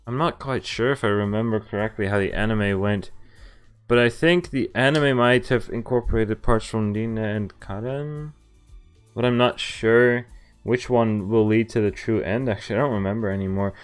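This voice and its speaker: A man's voice: fairly low pitch, moderate pace, clear, resonant tone. A man streaming a visual novel let's play, reading the text aloud and adding casual, offhand commentary of his own. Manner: mixes read-aloud narration with offhand reactions and asides. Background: game music.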